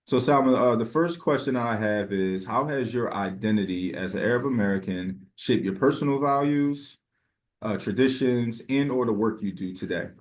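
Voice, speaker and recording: A man hosting an interview, polite and halting, with frequent fillers. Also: mid-range voice. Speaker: interviewer, host.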